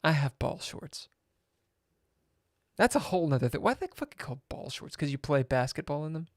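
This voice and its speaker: A man on a live stream, telling a rambling story in a sardonic, swearing manner, with loud outbursts and whispered asides. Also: fairly high pitch, sing-song, brisk, no room sound, clean studio sound. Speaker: chess streamer.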